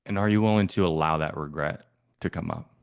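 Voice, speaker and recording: A man's voice: low voice, a little fast, clear, resonant tone. A man coaching on a sales call, asking probing, persistent questions in a casual way.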